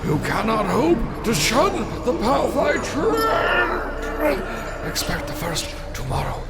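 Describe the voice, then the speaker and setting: An actor playing the tormented, remorseful ghost of a dead businessman in a radio drama, breathy, wailing and solemn. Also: very high voice, huge pitch swings, slow pace, loud music behind the voice, full character voice. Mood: ominous warning. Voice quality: breathy wailing, moaning delivery.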